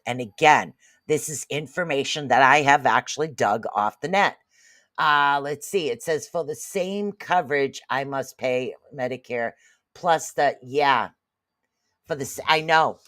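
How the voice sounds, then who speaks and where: A host in their late fifties talks loosely through viewer comments, circling back and repeating phrases. The voice sits at a middle pitch, and the recording is dry with no room sound. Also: fairly bright tone, dry recording. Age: 50s. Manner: rambling, repeats phrases, frequent "literally" and "okay?". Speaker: livestream host.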